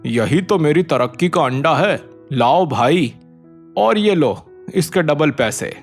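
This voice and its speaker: A man's voice: fairly high pitch, lively intonation, slow, soft background music. A man voicing a greedy, insistent village merchant in a cartoon, talking in a pushy, familiar way. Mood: pleased.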